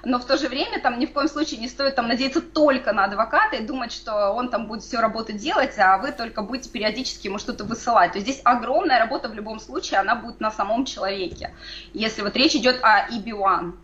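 A woman from Moldova, a guest in an interview over a video call, explaining at length in a lively way with fillers and easy laughs. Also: fairly high pitch, quick pace, dull audio. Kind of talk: conversational interview answers.

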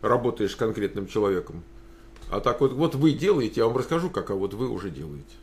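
A man's voice: fairly low pitch, sing-song, fast talker, a little mellow. Hesitant male interviewer, full of fillers. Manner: hesitant, many filler sounds and restarts while asking. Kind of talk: casual interview. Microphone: video call.

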